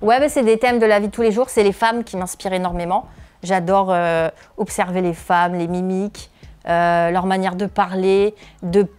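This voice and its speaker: A woman's voice: medium pitch, steady tone, moderate pace, darkish tone, soft background music. A woman in her thirties from northeastern France, a comedian answering interview questions, hesitant with many filler sounds. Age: 30s. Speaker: comedian and video creator.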